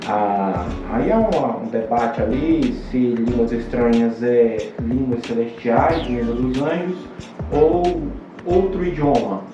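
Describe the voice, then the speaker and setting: A Brazilian man, a pastor guest on a podcast, explaining scripture in a halting, explanatory way with many restarts. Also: mid-range voice. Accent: Brazilian Portuguese. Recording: roomy, distant, dull podcast audio. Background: music underneath.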